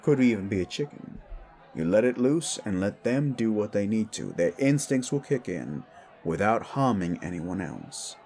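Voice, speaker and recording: A man's voice: on the low side, animated, moderate pace, warm tone. A man voicing an older, patient, experienced werewolf mentor who explains things frankly in an audio drama. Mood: patient, instructive. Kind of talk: scripted audio drama dialogue.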